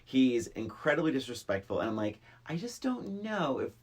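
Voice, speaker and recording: Podcast co-host: middle-aged man, husky, very animated intonation, opinionated. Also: medium pitch, normal speed. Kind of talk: casual film discussion.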